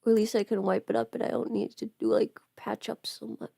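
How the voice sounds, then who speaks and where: A woman's voice: on the high side, flat delivery, brisk, gravelly, mellow, husky, no room sound, studio quality. A woman chatting casually on a livestream while busy with her makeup, rambling and trailing off as if half talking to herself. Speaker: livestream host.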